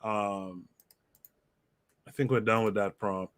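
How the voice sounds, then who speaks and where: A man hosting a podcast livestream, reading out viewer comments and calling on guests in a relaxed way. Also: fairly low pitch, takes it slowly. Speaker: podcast host. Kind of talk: moderating a livestream discussion.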